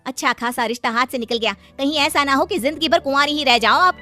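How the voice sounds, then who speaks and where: A woman acts a meddling female relative in a moral-story cartoon. The voice is very high and bright, with light music behind. She needles in a pointed, busybody way. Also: quick pace. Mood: taunting. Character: meddling, taunting women of family and neighbourhood.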